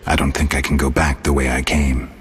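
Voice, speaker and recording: An actor playing a gruff, laconic monster-hunting mercenary in a video game, speaking tersely and dryly with a gravelly, husky voice. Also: male voice, rumbling low, brisk, exaggerated voice. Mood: deadpan. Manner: terse, dry, deadpan. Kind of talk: video game character acting.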